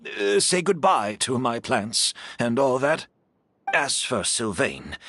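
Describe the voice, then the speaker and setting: An actor playing a frail, calm, resigned gentleman in a video game, speaking in a measured, polite way. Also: mid-range voice, slightly rough, no room sound, full character voice.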